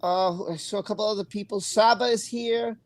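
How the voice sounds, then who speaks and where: Chatty male live-stream host, greets viewers warmly by name, dry close recording. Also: sits high, steady tone, a little fast, no echo. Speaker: livestream host. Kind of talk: casual live-stream chat.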